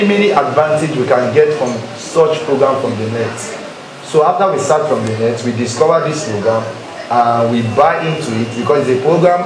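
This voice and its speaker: A man with a Nigerian Yoruba accent speaks as a church youth leader, exhorting a congregation with repeated emphasis. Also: medium pitch. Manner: rhetorical questions to listeners, repetitive emphasis. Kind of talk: exhortation to a congregation, leading a pledge. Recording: very echoey hall with crowd noise. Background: congregation murmur.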